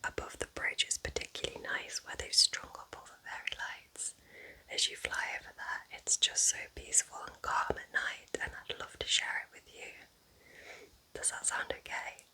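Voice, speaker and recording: Casting: woman playing a kind, affectionate cottage witch for ASMR roleplay, soothing and chatty, in a breathy, very bright, sibilant whisper. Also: normal speed, low-quality recording. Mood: gentle, welcoming. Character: kind, cosy forest witch welcoming a visiting relative.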